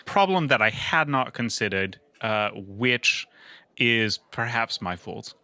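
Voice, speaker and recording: A man chatting casually as a player in a tabletop roleplaying game, halting and sweary. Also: mid-range voice, lively intonation, sharp tone, no echo. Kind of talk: casual in-character improvised game chat.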